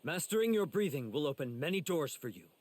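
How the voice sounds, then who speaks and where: Anime game warrior mentor, confident n commanding, kinda husky, very animated. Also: male voice, on the high side, a little fast. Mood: encouraging. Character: confident, encouraging young male warrior mentor.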